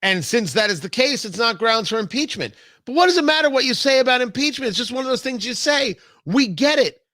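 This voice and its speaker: An American man delivers political commentary in a bright, forceful voice that swings widely in pitch. He is emphatic, leaning on drawn-out stresses and repeated phrases, and the sound is close and dry. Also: animated, dry recording. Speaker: political talk show host. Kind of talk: opinionated political commentary monologue.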